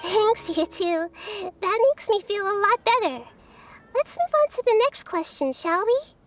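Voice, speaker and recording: Childlike girl deity, very high pitch, gentle, thoughtful, earnest, wise, anime game, music behind. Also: animated, unhurried, full character voice. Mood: grateful, bright. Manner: soft-spoken, thoughtful, earnest.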